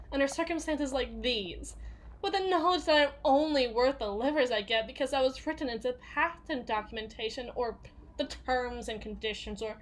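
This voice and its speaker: A woman playing a jaded, foul-mouthed bodyguard confiding in a partner in an intimate ASMR roleplay, halting and often sinking into whispers. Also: a little high, fairly bright tone.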